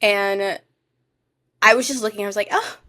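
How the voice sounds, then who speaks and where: Woman podcast co-host, mid-pitched, closely dry sound, chatty and excitable, casual fan conversation. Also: normal speed, no room sound. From Florida, United States. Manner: chatty, excitable, addresses listeners as "guys". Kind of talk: casual chat about TV shows.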